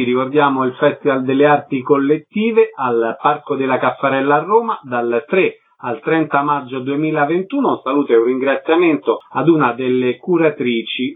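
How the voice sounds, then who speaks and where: A radio host with a slightly high voice, a bit husky, who introduces his guests briskly and politely. The broadcast sound is somewhat dull and lo-fi. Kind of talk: radio interview hosting.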